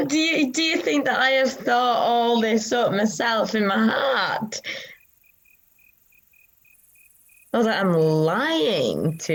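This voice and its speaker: A woman acting a grieving yet resolute disciple in a dramatised ancient religious text, speaking in a hushed, deliberate way with long pauses. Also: on the high side, creaky, exaggerated voice, rough recording. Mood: wounded, pleading.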